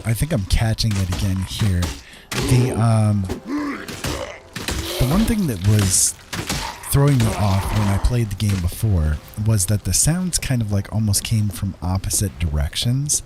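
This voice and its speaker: A man's voice: lowish voice, expressive, moderate pace. A middle-aged man casually commentating as he plays a game live, friendly and rambling, often talking to himself. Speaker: game streamer.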